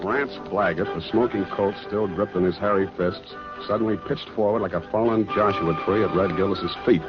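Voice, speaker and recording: Male actor as hard-boiled detective, American, deadpan, easygoing, 1940s radio style, muffled old broadcast, music behind. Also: lowish voice, moderate pace, natural voice. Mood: wry narration. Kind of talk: 1940s radio detective drama acting and narration.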